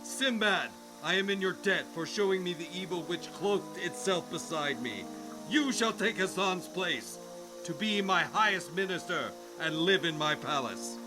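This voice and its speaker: A stern but just king played by a man, high in pitch and commanding. His speech is formal radio-drama oratory, over loud music. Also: lively intonation, measured pace. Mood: solemn judgement.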